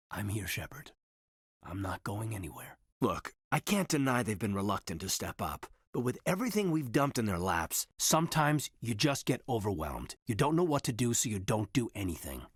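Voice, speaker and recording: An actor playing an earnest, guarded soldier in his thirties in a video game, speaking in a husky, measured, introspective way. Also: mid-range voice, dry recording, studio quality. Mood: tender, hesitant, then earnest, frustrated. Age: 30s. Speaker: video game companion character. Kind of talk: naturalistic game dialogue acting.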